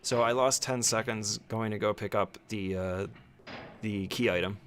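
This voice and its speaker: A man's voice: fairly low pitch, steady tone, moderate pace, a bit bright, slight background noise. A man giving a casual, step-by-step speedrun tutorial as commentary, speaking informally and giving short instructions.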